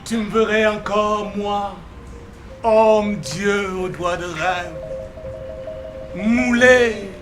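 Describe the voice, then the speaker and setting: A man's voice: high-pitched, steady tone, extremely slow, pauses often. A man giving a theatrical poetry recital in a solemn, dramatic, declamatory manner. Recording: roomy echo, faint music behind.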